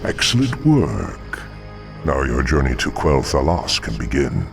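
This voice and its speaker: Very deep, gravelly scheming demon lord, wide-swinging, smug, game cutscene with score behind. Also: male voice, sing-song, heavily acted. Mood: commanding. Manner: slow, condescending, gravelly. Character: menacing male demon dreadlord, scheming and smug. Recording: game cutscene over orchestral music.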